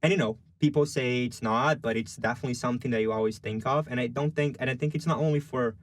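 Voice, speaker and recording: A man's voice: medium pitch, fast talker, dry recording. A young man from Brazil with a Brazilian Portuguese accent, a journalism student answering interview questions casually, full of "you know" and "like". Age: young adult. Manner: chatty, lots of fillers like "you know" and "like".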